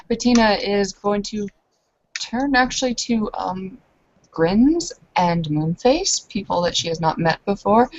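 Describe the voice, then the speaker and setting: Low-voiced woman roleplaying on muffled audio. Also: darkish tone, slight background noise. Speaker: player in a tabletop roleplaying game. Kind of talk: casual in-character roleplay and narration.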